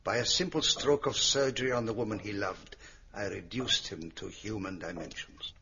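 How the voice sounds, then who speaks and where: An actor playing a ruthless, pompous megalomaniac villain in a radio drama, theatrical and menacing. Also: male voice, on the low side, moderate pace, bright, forceful tone. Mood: cold menace. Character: ruthless, grandiose male megalomaniac villain with a sword. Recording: dull lo-fi radio recording.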